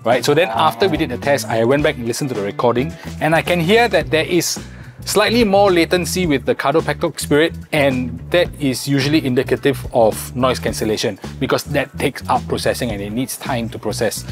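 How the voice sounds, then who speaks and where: Review co-host, Singaporean man in his forties, mid-pitched voice, clear background music. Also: fairly quick. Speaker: co-host and product tester. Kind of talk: casual product review chat.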